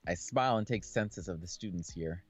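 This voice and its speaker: A man's voice: low-pitched, brisk. A man doing a casual, jokey playthrough, reading a visual novel aloud and commenting in slang. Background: background game music.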